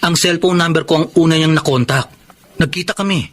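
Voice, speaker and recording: Loyal Filipino buddy, rather high, raspy voice, earnest and encouraging, radio drama. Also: male voice, steady tone, a little fast, fairly bright tone. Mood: eager explaining.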